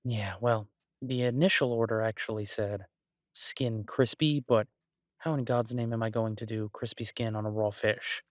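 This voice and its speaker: A close, dry recording of a man acting as a restaurant chef and devoted boyfriend in an audio roleplay. His voice sits on the low side, and he talks casually and haltingly, stammering into restarts, exasperated yet affectionate. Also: steady tone, dry recording, close to an everyday voice. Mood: exasperated, musing. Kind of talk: intimate boyfriend roleplay, one-sided casual dialogue.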